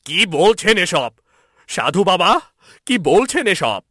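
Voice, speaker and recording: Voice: mid-range voice, sing-song, takes it slowly, pushed and bright, dry recording, studio quality, voice pushed up, exaggerated voice. A man playing an anxious, deferential royal minister in a fairy-tale cartoon. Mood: startled.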